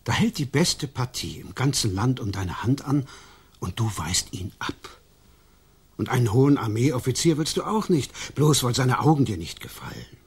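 A man's voice: mid-range voice, big pitch swings, normal speed, vocal fry, slightly rough, exaggerated voice. An actor playing an older colonial father in a radio drama, stern, reproachful and urging with his daughter. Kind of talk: German radio drama acting.

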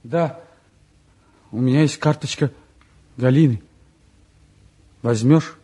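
An actor playing an impulsive, stubborn young son, pleading and insistent, in a Soviet radio drama style. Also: male voice, mid-range voice, takes it slowly, dark tone, close to an everyday voice. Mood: subdued, wistful. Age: young adult. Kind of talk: mid-century Soviet radio drama acting.

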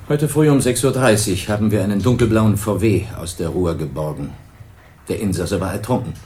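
Radio crime play: actor doing a deep, formal detective, slow official questioning, faint hiss behind. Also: male voice, a little mellow, natural voice. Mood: calm. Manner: measured, official, probing. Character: calm, formal male police investigator. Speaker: police detective. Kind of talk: 1980s radio crime drama.

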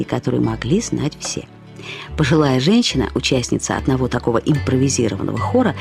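An actress narrating a short story as a woman fondly and ironically recalling a friend, with expressive, slightly husky delivery. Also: rumbling low, full character voice. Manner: acts out quoted remarks with lively intonation. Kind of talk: expressive literary storytelling, first-person reminiscence.

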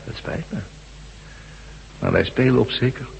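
An actor playing a mysterious, commanding armed stranger in a radio drama, terse, evasive and coolly menacing. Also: male voice, mid-range voice, animated, unhurried, vocal fry. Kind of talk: Dutch radio drama acting. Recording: hum and noise, long reverb.

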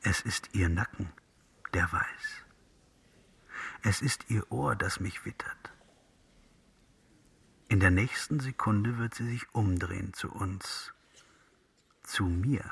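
Radio drama role: sensual male interpreter, breathy-rough low voice, intimate literary delivery, lingering on phrases. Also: slow pace, long pauses, a bit bright, heavily acted. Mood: seductive, hushed. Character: sensual, seductive male interpreter with poetic fervour.

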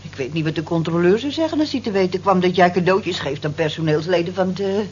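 Gossipy, animated low-voiced cleaning woman played by an actress. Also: quick pace, close to an everyday voice. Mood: nosy, cautioning. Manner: nosy, teasing, animated. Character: gossipy, teasing married night-shift cleaning woman. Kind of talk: Dutch radio drama acting. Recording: dull lo-fi old radio recording, faint noise.

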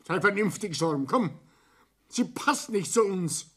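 A high-voiced old Bavarian patriarch in a radio drama. He blusters and browbeats, his pitch swinging widely as he lays down the law to his family. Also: expressive, slow pace, a bit bright, slightly rough, full character voice. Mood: pleading, pressuring. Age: older. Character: domineering, hot-tempered old Bavarian father and businessman. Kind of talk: German radio play acting.

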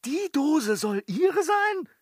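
An actor playing a brash, defensive manservant in a German radio play, grumbling and blustering with indignation. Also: male voice, extremely high pitch, big pitch swings, slow pace, no room sound, studio quality, exaggerated voice. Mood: flustered, indignant. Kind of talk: German radio play acting of a classic comedy.

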